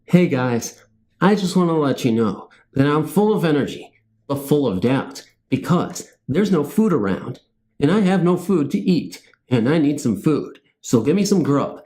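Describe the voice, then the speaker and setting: A man's voice: a little high, sing-song, normal speed, warm tone. A man hosting a channel, chatting casually to his viewers in a goofy, self-mocking, rambling way. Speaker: host of a scary story channel.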